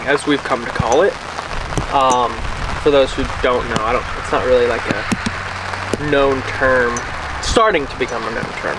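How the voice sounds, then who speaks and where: A young man vlogging in a relaxed chat, easygoing and rambling with lots of fillers. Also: medium pitch. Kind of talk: casual chat. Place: outdoors beside a car in the rain. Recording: distant outdoor camera audio with rain noise. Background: rain and outdoor ambience.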